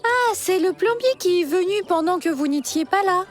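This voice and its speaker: A woman voicing a helpful, sensible little cartoon character who explains things matter-of-factly, in an animated dub. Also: very high-pitched, normal speed. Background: clear background music.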